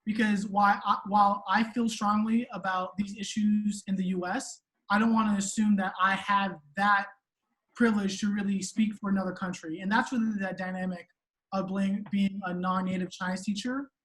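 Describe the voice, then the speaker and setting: A woman's voice: mid-range voice, little pitch movement, moderate pace, a bit bright, low-quality recording. A woman language teacher speaking on a video call panel, hesitant and self-correcting, with many ums. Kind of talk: webinar panel discussion.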